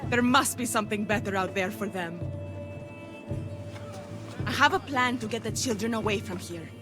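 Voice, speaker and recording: A woman's voice: mid-range voice, normal speed, over music, lightly acted. An actress playing a defiant, streetwise peasant mother in a video game, feisty and earnest. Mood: bitter, pleading. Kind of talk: video game cutscene acting.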